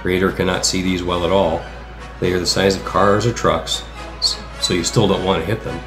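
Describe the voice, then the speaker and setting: A man reading a measured, explanatory voice-over for a sailing travel vlog. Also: low-pitched, flat delivery. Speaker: sailor narrating travel vlog. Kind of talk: scripted voice-over narration. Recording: roomy echo, music underneath. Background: background music.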